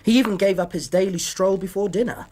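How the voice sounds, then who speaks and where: An actor in a radio drama playing an earnest, polite Victorian doctor with a British accent, husky and precise. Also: male voice, high voice, a little mellow. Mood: earnest, troubled. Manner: courteous, precise, measured. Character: earnest, polite Victorian male doctor recounting his case. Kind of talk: radio drama narration and dialogue.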